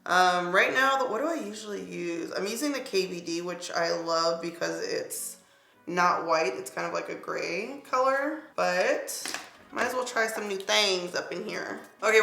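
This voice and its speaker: Bright, raspy-edged young woman vlogger. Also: fairly low pitch, moderate pace. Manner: chatty, lots of "like" fillers, upbeat product asides. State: slightly raspy voice. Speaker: beauty vlogger. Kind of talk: casual makeup tutorial chat. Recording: echoey room with faint music.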